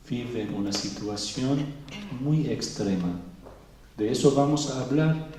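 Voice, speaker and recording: A middle-aged man from Venezuela speaking slowly and thoughtfully at a public talk, pausing often. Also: mid-range voice, warm tone, slight background noise. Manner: slow and thoughtful, with pauses and fillers. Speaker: Orthodox bishop giving a talk. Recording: echoey hall, mic far off.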